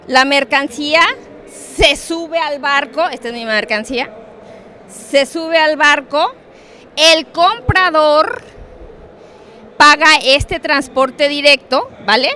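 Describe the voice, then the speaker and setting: A middle-aged woman with a Mexican Spanish accent giving a conference talk on trade, animated and joking, often turning to the audience with questions. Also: high voice. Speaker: conference speaker on international trade. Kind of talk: lively conference talk with props and asides. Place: conference hall.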